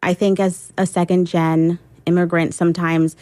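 Reflective woman guest, lower voice. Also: little pitch movement, moderate pace, a little mellow, no room sound. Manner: reflective, hesitant, many ums and restarts. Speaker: interview guest, poet and adult education teacher. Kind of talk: radio interview.

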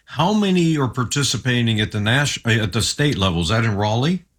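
A man's voice: medium pitch, expressive, fairly quick, a bit bright. A man of around seventy hosting an interview in a casual, conversational style. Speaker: interview host.

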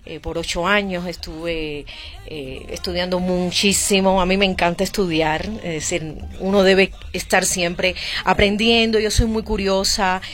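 A woman's voice: lowish voice, steady tone, a little slow, a bit bright, faint music behind. A woman with a Cuban accent, a singer and actress, chatting as a radio guest with frequent hesitant fillers. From Cuba. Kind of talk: casual radio interview. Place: radio studio booth.

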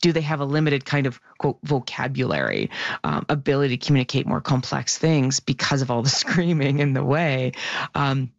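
A woman explains bird research on the radio with real enthusiasm, her voice gravelly and naturally loud. The sound is dry and a little muffled. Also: rumbling low, normal speed, no echo. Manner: lively, animated explainer, self-described loud voice. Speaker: science columnist. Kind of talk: radio science segment chat.

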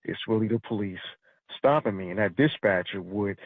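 A man from New York City calling into a radio show, speaking in a measured, matter-of-fact way. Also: on the low side, dry recording. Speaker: caller, retired federal officer. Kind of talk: phone-in commentary. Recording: tinny phone line.

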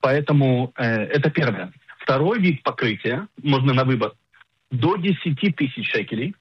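A middle-aged man explaining things as an expert guest in a radio interview, didactic and emphatic, pausing to search for words. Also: mid-range voice, lively intonation, breathy edge, no echo. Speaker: insurance agent and financial planner, radio guest. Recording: dull lo-fi radio sound.

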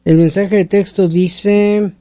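A man's voice: high-pitched, steady tone, slow, warm tone, no room sound. A man hosting a radio call-in show, chiming in with brief, friendly remarks and reading out listener messages. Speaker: radio host.

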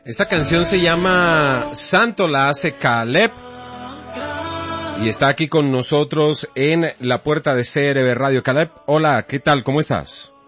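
A man's voice: fairly high pitch, measured pace, fairly bright tone, muffled recording, soft background music. A man hosting a radio interview, introducing a guest and asking questions. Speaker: radio host.

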